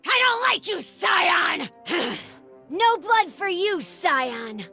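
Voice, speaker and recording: Young game warrior hungry for blood, very high voice, unhurried, snapping into frenzy. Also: female voice, very animated, gravelly, soft background music, exaggerated voice. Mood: frenzied. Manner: playful, snapping into frenzied shouts. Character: blood-hungry young female warrior, sweet then feral. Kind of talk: video game voice lines.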